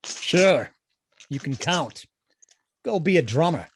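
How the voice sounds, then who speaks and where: A man playing a jaded, sardonic, hard-drinking janitor, deadpan and fatalistic, in a comedic script reading. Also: on the high side, takes it slowly, slight background noise. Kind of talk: comedic script table read.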